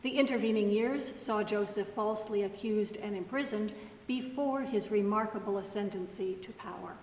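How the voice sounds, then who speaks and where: A woman pastor giving a soft-spoken, gently conversational sermon message. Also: mid-range voice, normal speed, a little hiss or hum. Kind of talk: recorded weekly sermon message. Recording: roomy echoing hall, mic at a distance.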